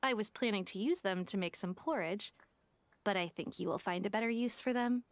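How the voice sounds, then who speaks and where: A woman's voice: medium pitch, a little fast, lightly acted. A woman voicing a shrewd, businesslike young detective in a video game, with a polite, salesy manner. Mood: sly, businesslike. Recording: faint game music behind.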